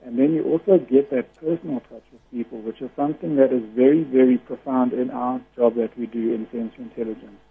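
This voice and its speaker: Radio guest on the phone: a loud-voiced man, very husky, earnest and explanatory, tinny line. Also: medium pitch, steady tone, brisk, few pauses. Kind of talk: radio interview over the phone.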